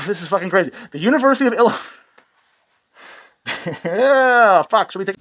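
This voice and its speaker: A man's voice: sits high, a bit bright. Sarcastic, profane rant host man. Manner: sarcastic, rambling, self-amused, swears a lot. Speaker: host of a satirical commentary channel.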